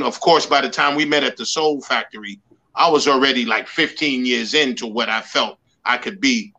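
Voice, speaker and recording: Man in his sixties, from the Bronx, bright voice, earnest emphatic storyteller. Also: fairly high pitch, rough recording. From New York, the Bronx. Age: 60s. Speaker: guest, motivational speaker and life coach. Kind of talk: interview conversation.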